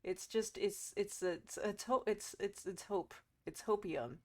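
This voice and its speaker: A woman's voice: mid-range voice, measured pace. A woman doing casual, joking, foul-mouthed commentary as a game streamer.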